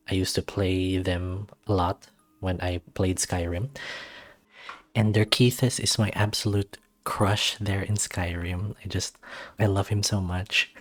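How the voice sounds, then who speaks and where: A man hosting a let's-read of a visual novel, reading aloud and chatting casually in a soft-hearted, gushing way. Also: on the low side.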